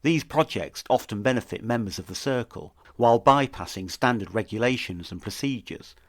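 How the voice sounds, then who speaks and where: A man hosting an investigative podcast, delivering scripted true-crime narration in a serious, measured manner. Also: medium pitch, expressive, dry recording, clean studio sound.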